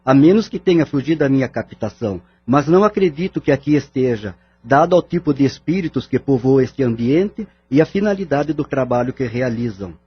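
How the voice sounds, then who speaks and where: A man's voice: medium pitch, animated, a little fast, few pauses, husky. A man playing a solemn spirit medium in an audio drama, speaking formally and earnestly. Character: solemn male spirit medium describing his visions. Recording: dull lo-fi audio. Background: background music.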